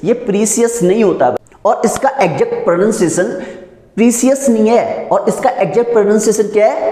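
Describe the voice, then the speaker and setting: A man's voice: high voice, a little mellow. Male Indian-accented teacher, question-and-answer drilling style, recorded in a very reverberant room. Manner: didactic, repeatedly asks questions and answers them. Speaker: English pronunciation teacher. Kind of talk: classroom lesson in spoken English. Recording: echoey room with long reverb.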